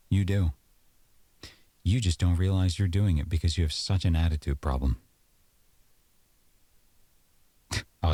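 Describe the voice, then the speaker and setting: A man's voice: very deep voice, mellow, no room sound. A man playing an aggrieved, sarcastic boyfriend arguing at home in an audio roleplay, accusatory and exasperated. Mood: scornful, exasperated. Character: aggrieved, sarcastic boyfriend in a heated domestic argument.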